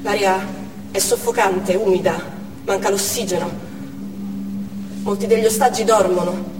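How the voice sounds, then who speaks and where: A woman's voice: mid-range voice, steady tone, moderate pace, lightly acted. Woman actor, worried determined doctor mother, stage drama narration, recorded from afar, long hall reverb, noticeable noise. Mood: tense. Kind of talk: dramatic stage monologue in present tense. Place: on stage in a theatre. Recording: echoey theatre hall from far off, noisy.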